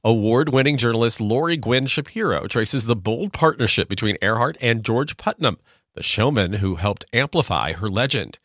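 A man hosts a radio programme from a script. His voice has an average male pitch and a very dry, studio-close sound with no room around it. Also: animated, moderate pace, fairly bright tone, no room sound. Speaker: radio host.

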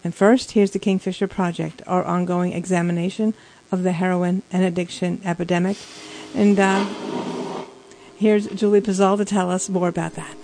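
A woman's voice: lowish voice, level tone, normal speed, dark tone, a little hiss or hum, low-quality recording. A woman hosting a radio magazine, reading show introductions.

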